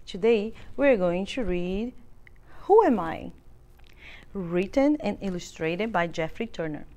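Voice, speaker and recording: A middle-aged woman reading a children's picture book aloud, expressive and deliberate, as if for small children. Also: medium pitch. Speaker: children's librarian, storytime reader. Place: library room with bookshelves.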